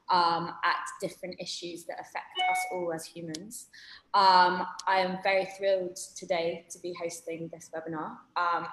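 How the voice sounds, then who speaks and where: A woman hosting an online panel over a video call, talking in a chatty way with lots of ums and restarts. Her voice sits fairly low for a woman. The room around her sounds roomy and echoey. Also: fairly bright tone. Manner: chatty, many fillers and restarts. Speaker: webinar host.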